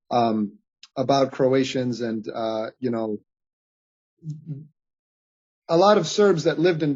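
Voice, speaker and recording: Man, late twenties, from bosnia, husky, earnest and halting, dull lo-fi sound. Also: mid-range voice, darkish tone. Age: late 20s. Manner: earnest, halting with many fillers and restarts. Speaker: podcast guest. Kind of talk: reflective interview conversation. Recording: dull lo-fi audio.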